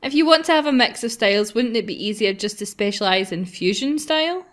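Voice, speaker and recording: A woman's voice: mid-range voice, expressive, a little fast. A woman hosting a gaming video, reading a visual novel aloud in a playful, giggly, self-mocking way.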